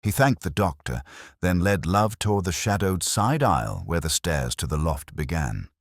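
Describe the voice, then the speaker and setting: An extremely deep male voice reads mystery fiction as a measured narrator. The delivery moves widely in pitch, with a slightly husky grain and a very dry, close sound. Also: big pitch swings, no echo. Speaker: audiobook narrator. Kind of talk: scripted literary mystery narration.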